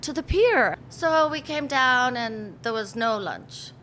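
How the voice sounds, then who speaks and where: A woman's voice: sits high, expressive, slow pace, a bit bright, a little hiss or hum, low-quality recording. An Irish woman in her fifties, chatting casually in an interview and telling stories with easy laughs. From Ireland. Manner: chatty storyteller, laughs easily, acts out quotes. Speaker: pub owner, interviewee.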